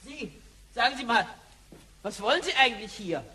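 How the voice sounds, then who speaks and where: Shrill, brusque grump. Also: male voice, animated, moderate pace, pushed and bright, heavily acted. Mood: scolding. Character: brusque, scolding, grumpy resident guarding the house. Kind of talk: German science fiction radio play acting.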